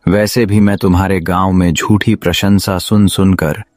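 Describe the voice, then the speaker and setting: Man acting a very deep-voiced, envious schemer. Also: level tone, normal speed, darkish tone, no room sound, studio quality, soft background music, close to an everyday voice. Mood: resentful, accusing. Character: false friend, envious scheming village man. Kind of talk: Hindi cartoon moral-story voice acting.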